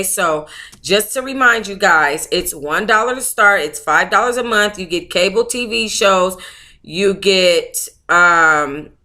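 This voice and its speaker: A woman's voice: medium pitch, sharp tone. An American woman hosting a book club livestream, talking in a casual, chatty, slangy way with familiar endearments.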